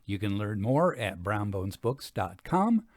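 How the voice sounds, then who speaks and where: A man hosting and reading for a literary podcast, speaking articulately with measured phrasing and expressive emphasis. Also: lowish voice, no room sound. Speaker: host and reader of a ghost story podcast. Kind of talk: literary reading and informal scripted commentary.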